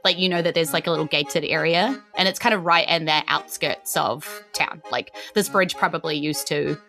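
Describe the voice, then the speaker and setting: A woman's voice: low-pitched, normal speed, pushed and bright. A woman with a New Zealand accent improvising narration as game master of a tabletop game, informal and conversational. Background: faint music.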